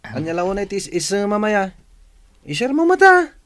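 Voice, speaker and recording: A man's voice: sits high, huge pitch swings, normal speed, a bit bright, dry recording, studio quality, heavily acted. A man with an Ilocano accent playing a teasing, sly, pushy young cousin in a radio drama, boisterous and wheedling.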